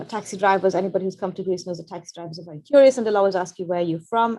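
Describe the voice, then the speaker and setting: A woman from Pakistan speaks as a journalist in a prepared webinar talk. Her delivery is earnest, measured and reflective, at a medium pitch. She comes through a video call mic. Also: darkish tone, poor audio.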